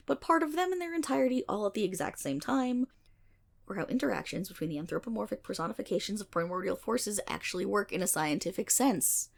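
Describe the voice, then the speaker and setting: A nerdy female best friend, acted by a woman rambles through a story in an ASMR roleplay. Her pitch swings expressively and often drops into a creaky fry. She is wry, irreverent and playfully exasperated with her listener. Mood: explaining, wry. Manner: rambling, wry, sarcastic, theatrical asides.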